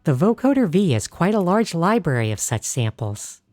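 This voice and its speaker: A woman hosting a tutorial, narrating scripted explanations in a clear, explanatory tone. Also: on the low side, big pitch swings, normal speed, darkish tone, dry recording, studio quality. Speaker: host.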